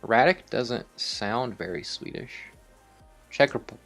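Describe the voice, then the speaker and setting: A man's voice: mid-range voice, expressive, takes it slowly, vocal fry, a bit bright, soft background music. A man hosting a video game series, thinking aloud in casual, rambling commentary with some offhand swearing. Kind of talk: casual improvised gameplay commentary.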